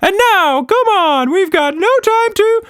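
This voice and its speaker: An excitable storybook monster in a voice pitched extremely high, far above normal speech. He is enthusiastic and exclamatory, swooping through his lines with childlike urgency. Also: measured pace, dry recording, clean studio sound, heavily acted. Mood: urgent, enthusiastic. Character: Quatch Monster, excitable many-armed male magical monster.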